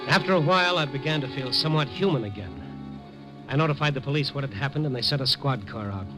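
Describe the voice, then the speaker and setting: An actor playing a hardboiled newspaper reporter who narrates in dry, laconic wisecracks in an old radio drama. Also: male voice, medium pitch, lively intonation, fast, natural voice. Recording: old radio broadcast, music bed.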